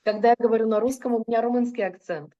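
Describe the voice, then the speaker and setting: A woman interprets a lecture sentence by sentence, fluent but now and then stopping to correct herself. Her voice is mellow and warm. The recording sounds dull and muffled. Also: fairly high pitch, fairly even intonation, fast talker. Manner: fluent, with occasional hesitations and self-corrections. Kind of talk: consecutive interpretation into Russian.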